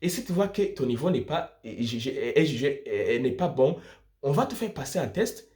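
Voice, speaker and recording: A man's voice: mid-range voice, sing-song, normal speed, a little mellow. A young man from Burundi, explaining things informally to viewers in an emphatic way, repeating and rephrasing his points. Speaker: student vlogger giving study-abroad advice.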